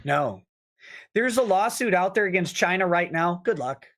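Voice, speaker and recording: Man explaining on a livestream, slightly high. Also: animated, a bit bright. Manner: explains in a measured way, with quick restarts. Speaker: co-host. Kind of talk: casual livestream chat.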